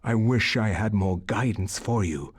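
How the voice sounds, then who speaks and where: A man playing a grave, weary old grandfather narrating a letter in a video game, solemn and measured. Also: on the low side, breathy edge. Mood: grave, resigned. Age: older.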